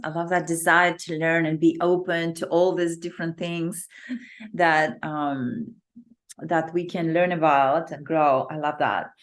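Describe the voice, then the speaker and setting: A woman hosts an interview with a notably low voice for a woman, keeping things encouraging and repeating her approval often. She asks her questions in a loose, conversational way. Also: steady tone, measured pace. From outside the US. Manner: warmly affirming, repeats "I love that". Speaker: podcast host. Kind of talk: interview hosting.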